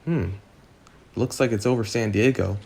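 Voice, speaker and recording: A man's voice: fairly low pitch, normal speed, gravelly, a little mellow. A man playing a welcoming adult weather office worker in an amateur audio drama.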